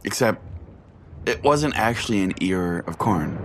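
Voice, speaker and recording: A man narrating a first-person story as a podcast host, with deliberate pauses and a dramatic delivery. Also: on the low side, animated, slight background noise. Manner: deliberate, pausing. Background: music.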